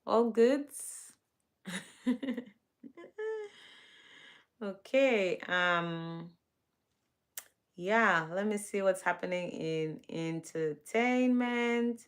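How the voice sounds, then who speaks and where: A woman's voice: mid-range voice, drawn-out words, pauses often. A young woman with a Kenyan accent hosts a casual live stream, greeting viewers by name, laughing often and using lots of fillers.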